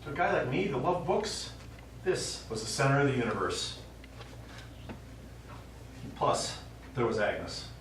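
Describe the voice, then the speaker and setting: An actor playing a stubborn old American war veteran and father, telling his memories haltingly in a stage play. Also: medium pitch, big pitch swings, a bit bright. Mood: wistful reminiscing. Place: small theater stage, recorded from the audience. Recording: distant, very echoey theater recording, faint noise.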